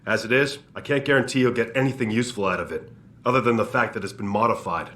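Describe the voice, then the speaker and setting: An actor playing an irritable, talkative medical examiner in a video game, grumbling in a brusque way. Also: male voice, fairly low pitch, quick pace, fairly bright tone, slight background noise, natural voice.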